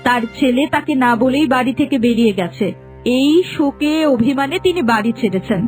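A woman's voice: high-pitched, animated, fairly quick, soft background music. A woman playing a spiteful, scheming young village daughter-in-law in a cartoon, scolding and dismissive. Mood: feigned concern. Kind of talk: cartoon voice acting.

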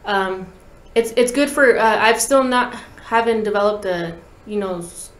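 A woman's voice: medium pitch, measured pace, fairly bright tone, roomy sound. A woman in her thirties chatting informally and slangily as a musician guest in an interview. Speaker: guest, talk box musician. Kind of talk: casual interview chat, storytelling. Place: small podcast studio, indoors. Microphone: desk podcast microphone.

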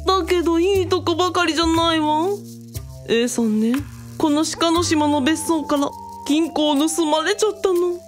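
A woman's voice: very high voice, normal speed, faint music behind. A woman playing a self-assured, worldly female mentor who talks in a teasing, feminine way in a radio drama. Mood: confiding.

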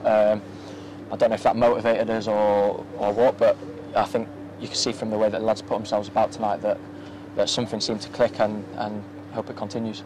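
Soft-spoken young footballer, low flat voice. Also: male voice, a little fast. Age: young adult. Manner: soft-spoken, many ums and restarts. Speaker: professional footballer. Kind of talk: post-match interview. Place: outdoors at a floodlit football ground at night. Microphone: handheld interview mic. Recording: outdoor ambience with faint noise.

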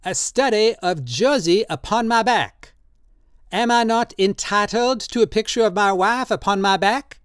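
A storybook reader voicing an excitable, drunken old man who pleads and insists, raised well above the reader's own voice with an altered tone. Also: mid-range voice, unhurried, clear, resonant tone, no echo, clean studio sound, pitched above the performer's own voice, lightly acted. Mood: eager.

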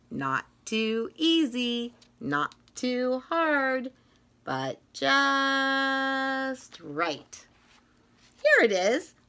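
A middle-aged woman reading a children's picture book aloud like a teacher, in an expressive, rhythmic sing-song manner with drawn-out phrases. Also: on the high side. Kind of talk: read-aloud of a children's story. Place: small indoor classroom-style room.

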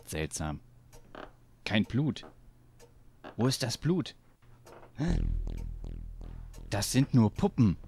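An actor playing a cocky, ruthless mafia boss in a radio play, with theatrical delivery. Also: male voice, on the low side, sing-song, drawn-out words, pauses often, music in the background, exaggerated voice. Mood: puzzled. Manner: swaggering, theatrical.

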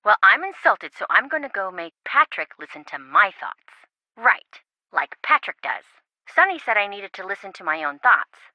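A woman voicing a quirky, sarcastic, excitable young reality-show contestant in a video game, with playful theatrical quips. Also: mid-range voice, normal speed, no room sound, lo-fi sound. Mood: animated, quippy.

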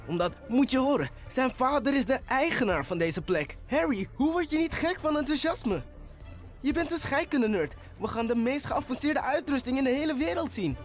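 A man in an amateur cartoon fandub voicing an eager, excitable teenage nerd hero who narrates with nerdy enthusiasm. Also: sits high. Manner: excitable, fast-talking nerd.

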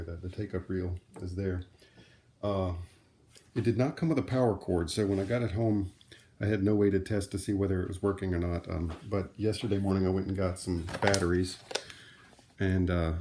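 Low-voiced, mellow man demonstrating casually. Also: fairly even intonation, brisk, slight background noise. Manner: conversational, thinking aloud while handling things. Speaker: vlogger, vintage audio hobbyist. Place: room at home, indoors.

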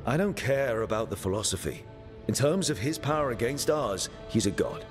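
A man's voice: mid-range voice, animated, moderate pace, natural voice. A man voicing a blunt, matter-of-fact young hero who leads his team, in game dialogue. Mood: grim. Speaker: player character. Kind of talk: video game voice acting. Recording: game dialogue over clear music.

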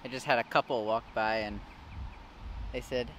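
A man's voice: mid-range voice, steady tone, moderate pace, fairly bright tone. A young American man chatting casually to the camera as a fishing vlogger. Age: young adult. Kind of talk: casual vlog chat to camera. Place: outdoors by a river, sometimes in a car. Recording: outdoor recording with clear background noise.

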